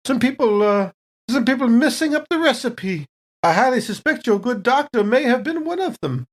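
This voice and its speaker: A woman's voice: fairly high pitch, animated, moderate pace. A woman playing a polite, evasive company captain in improvised sci-fi role-play, hesitant and genteel in her phrasing. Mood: coyly evasive.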